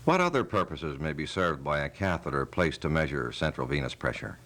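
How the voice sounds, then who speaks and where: A man with a deep, low-pitched voice serves as the interviewer. He puts scripted medical questions in a formal way, with precise and careful wording. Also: sing-song, fairly quick, fairly bright tone.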